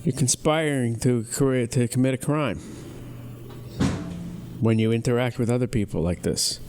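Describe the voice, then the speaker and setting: A man's voice: medium pitch, moderate pace. A middle-aged man co-hosting a podcast in casual conversation. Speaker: podcast co-host. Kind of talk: casual chat.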